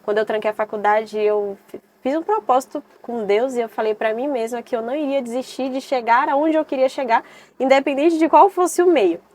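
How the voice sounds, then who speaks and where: A woman in her twenties from Salvador, Brazil, talking earnestly as a podcast guest and often pausing and adding "né". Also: sits high, darkish tone. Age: 20s. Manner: earnest, with many hesitations and "né" tags. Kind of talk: casual interview chat.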